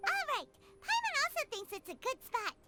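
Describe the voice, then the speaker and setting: A woman voicing a tiny, excitable, childlike fairy companion in a video game, who talks about herself in the third person. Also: moderate pace, over loud music, exaggerated voice. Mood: cheerful, confident. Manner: childlike, squeaky, refers to self in third person.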